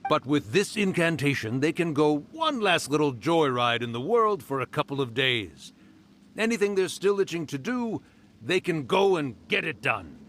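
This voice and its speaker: Einen prahlerischen alten Fuchsgeist. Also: male voice, a little high, big pitch swings, moderate pace, fairly bright tone, a little hiss or hum. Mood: teasing. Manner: theatrical, hammy villain voice, then genial and teasing. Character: mischievous old male fox spirit, theatrical and boastful. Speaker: yokai spirit character. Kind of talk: video game voice acting.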